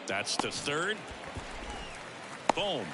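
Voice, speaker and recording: A brisk baseball play-by-play announcer in a sports game. His voice sits fairly high and swings animatedly as he calls the action, with very loud noise all around it. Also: sing-song, exaggerated voice. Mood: engaged, descriptive.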